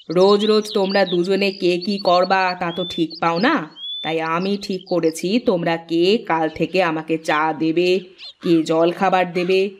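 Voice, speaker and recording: A woman voicing an older, bossy but indulgent mother-in-law in a fairy-tale cartoon, with a commanding, deliberate manner. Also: fairly low pitch, slight background noise, natural voice. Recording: music behind the voice.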